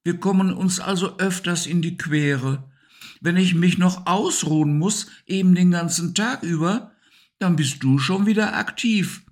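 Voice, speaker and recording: Male reader as a gentle small night creature, raised pitch, unhurried and soothing. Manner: soothing and patient. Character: calm, gentle male nocturnal animal. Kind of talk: storybook reading.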